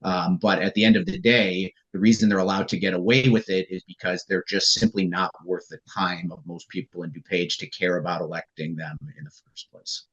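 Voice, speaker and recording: A male panelist with a very deep, husky voice makes a measured, dismissive point. The sound comes through dull and lo-fi, as if over a weak remote connection. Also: steady tone, a bit bright. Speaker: panel guest. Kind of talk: political panel discussion. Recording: muffled lo-fi remote audio.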